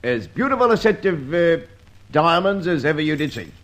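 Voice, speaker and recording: A shady little Cockney shopkeeper in an old-time radio drama. His voice is very high for a man and bobs up and down. He is obliging, colloquial and deferential. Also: very animated, moderate pace, fairly bright tone. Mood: proud, deferential. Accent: Cockney English. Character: shady, obliging London back-street craftsman of skeleton keys.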